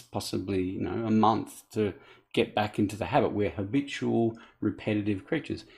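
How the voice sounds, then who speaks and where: A man speaks in a low, gravelly voice. He talks earnestly and off the cuff as a coach, breaking off and restarting his phrases. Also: darkish tone. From Australia. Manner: earnest, unscripted, restarts often. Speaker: accent coach. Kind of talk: direct-to-camera appeal.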